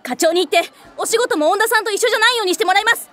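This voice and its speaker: A woman's voice: very high-pitched, rapid-fire, fairly bright tone. An actress playing an oblivious, earnest young woman at an office who speaks politely but bluntly and takes everything literally. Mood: formal.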